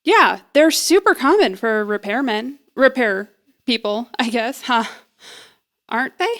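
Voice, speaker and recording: A woman's voice: a little high, expressive, measured pace, fairly bright tone. A woman playing a nervous, jokey young woman who rambles and puts herself down as she bluffs through an interview in an audio drama. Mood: bluffing. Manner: rambling, self-deprecating, flustered. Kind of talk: audio drama acting, conversational comedy.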